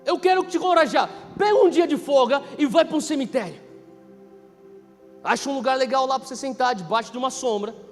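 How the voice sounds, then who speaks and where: A Brazilian man in his thirties preaching a sermon, emphatic, repeating key phrases and swinging between hushed and loud delivery. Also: very high voice, a little fast. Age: 30s. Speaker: pastor preaching. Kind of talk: impassioned sermon. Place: large reverberant church hall. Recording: reverberant hall PA, music underneath. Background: music.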